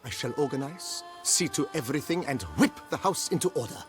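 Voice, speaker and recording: An actor playing a formal, eager, courteous majordomo of a country estate, with a pompous, deferential manner, in a theatrical video game style. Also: male voice, on the high side, brisk, soft background music, close to an everyday voice. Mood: eager, assured.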